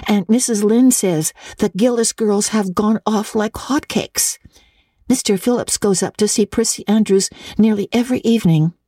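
A woman's voice: medium pitch, moderate pace, mellow, dry recording, studio quality, voice pushed up, close to an everyday voice. A woman voicing a dreamy, talkative young girl in an audiobook, rambling eagerly in long breathless runs. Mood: chattering, earnest. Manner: breathless rambling girlish chatter. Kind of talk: audiobook reading.

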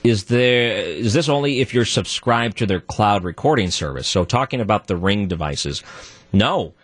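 Deep voiced radio guy ranting solo, gets squeaky when he's worked up, dry close sound. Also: normal speed, no echo. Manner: animated, voice jumps high when worked up. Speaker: radio talk show guest host. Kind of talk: solo talk radio monologue.

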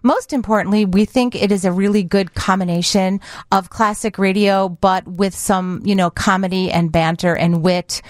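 A woman's voice: mid-range voice, fairly even intonation, normal speed, no echo, clean studio sound. A middle-aged woman chatting casually as a radio co-host in an interview. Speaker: radio show co-host, guest in studio. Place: radio studio. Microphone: close studio microphone.

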